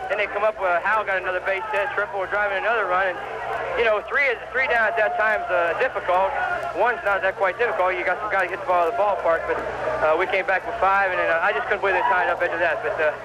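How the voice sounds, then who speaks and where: A man's voice: sits high, fairly even intonation, fast. A man giving a rambling, unscripted post-game interview. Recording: muffled, very noisy archival interview.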